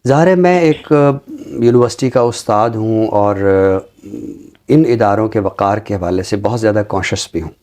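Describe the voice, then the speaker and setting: A man's voice: on the low side, a little mellow. A middle-aged Pakistani man hosting a talk show, giving conversational literary commentary in formal, carefully chosen words. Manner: formal, deliberate word choice. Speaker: talk show host, university teacher.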